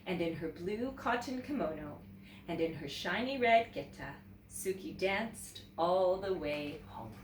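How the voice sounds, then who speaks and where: A woman teacher reading a children's story aloud in an expressive, animated way, pausing to check in with listeners. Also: fairly low pitch. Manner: expressive, pauses to ask listeners questions. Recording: roomy echo, distant mic, faint noise.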